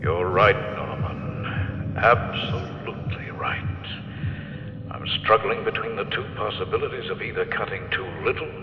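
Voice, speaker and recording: A man voicing a nervous surgeon torn between cutting too little and too much, speaking slowly and fearfully in an old radio drama. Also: fairly low pitch, reverberant, big-room sound, highs cut off, background noise, pitched above the performer's own voice. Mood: anxious. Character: nervous middle-aged male surgeon, anxious.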